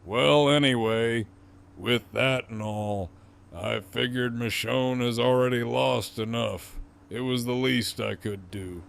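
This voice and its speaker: Casting: male actor for gruff, weary, sardonic captive in audio drama; unhurried, creaky, teasing delivery. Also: mid-range voice, steady tone, fairly bright tone. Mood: subdued, sincere. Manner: slow, wry, teasing drawl.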